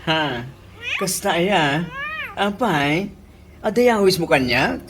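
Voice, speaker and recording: A woman's voice: lowish voice, lively intonation, takes it slowly, background noise. A woman playing an eager, gushing female friend in a radio comedy, with an Ilocano accent. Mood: curious. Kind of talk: comedy radio drama acting.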